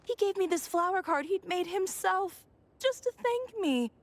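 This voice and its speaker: A woman's voice: very high-pitched, expressive, a little slow. An actress playing a chatty, nosy but kindly neighbourhood mother in a video game, rambling and theatrical. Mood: fond, chatty. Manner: gossipy, rambling, theatrical sighs. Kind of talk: video game dialogue acting.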